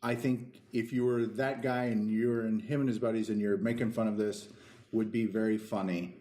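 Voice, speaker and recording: A man's voice: on the low side, level tone, moderate pace, mellow. A man co-hosting a podcast, speaking casually in a hesitant, rambling way. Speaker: podcast co-host. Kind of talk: casual discussion. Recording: echoey room.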